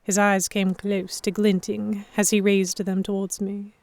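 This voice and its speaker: Woman acting as anxious captive young noblewoman, first-person narration, measured, introspective, middle pitch, dry close recording, historical romance drama. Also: level tone, a little mellow, dry recording, natural voice. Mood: guarded.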